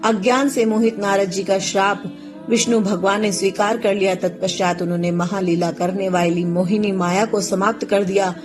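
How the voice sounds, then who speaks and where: Female narrator, scripted scripture storytelling, mid-pitched voice, soft music bed. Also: steady tone, moderate pace.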